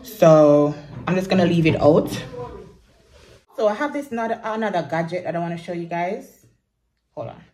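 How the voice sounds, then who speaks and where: A woman's voice: on the low side, moderate pace, pauses often, a little hiss or hum. Jamaican woman chatting to her phone. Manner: chatty, relaxed, slips into patois. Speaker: vlogger. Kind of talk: casual vlog chat to camera. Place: small home bathroom and bedroom, indoors. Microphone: phone camera at arm's length.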